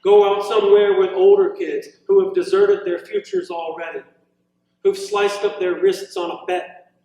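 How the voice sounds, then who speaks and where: A man giving a live poetry reading, moving between measured verse and informal, hesitant asides, recorded in an echoing room. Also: high voice, level tone, mellow. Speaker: poet reading own work. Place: indoor college reading event, echoing room. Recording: very echoey room, distant mic.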